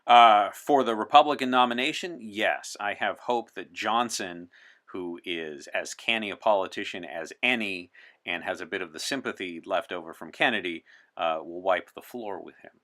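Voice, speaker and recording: A man's voice: sits low, moderate pace, bright, forceful tone. A man speaking as an interview guest, articulate, emphatic and opinionated, chatting in character as a visitor from the sixties. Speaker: podcast guest. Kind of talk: in-character interview as a time traveler from 1964.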